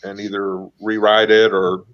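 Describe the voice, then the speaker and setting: A man with a lowish voice lays out his thinking in a questioning, thoughtful way. His audio sounds dull and lo-fi. He talks casually, as in a group chat. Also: flat delivery, measured pace.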